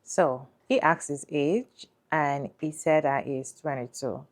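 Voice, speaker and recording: A woman hosting a reaction commentary channel, talking deliberately and putting questions to her viewers. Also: low-pitched.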